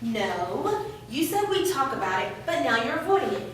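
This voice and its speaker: A woman's voice: high voice, sing-song, moderate pace, slight background noise. A woman reading an upset young woman who insists and demands answers. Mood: insistent. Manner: insistent, rising to shouting. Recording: roomy echo, far from mic.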